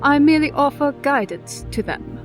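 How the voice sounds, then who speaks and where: A powerful, self-satisfied elder-sister pony, voiced by a woman for a cartoon. She is refined and composed, teasing politely in a fairly high voice. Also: lively intonation, normal speed, music in the background. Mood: smug.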